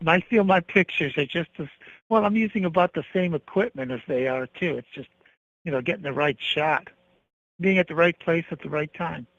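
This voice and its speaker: A man's voice: a little high, normal speed, a bit bright, dry recording. A man calling in as a guest, talking in a plainspoken, matter-of-fact way. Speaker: caller, guest photographer. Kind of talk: casual interview talk. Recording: dull lo-fi phone call-in.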